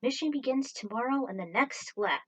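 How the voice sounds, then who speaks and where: A woman's voice: high-pitched, animated, moderate pace. A woman reading scripted fiction aloud as its narrator, in the manner of an amateur storyteller presenting their own writing. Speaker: narrator and writer of fan fiction.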